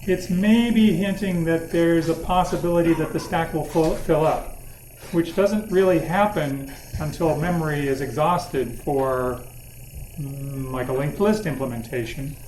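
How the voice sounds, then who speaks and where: Higher-pitched male lecturer, informal. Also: unhurried, darkish tone, a little hiss or hum, rough recording. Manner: informal, thinking aloud, playfully wry. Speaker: university lecturer. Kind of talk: interactive lecture, posing questions to students. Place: classroom or lecture room.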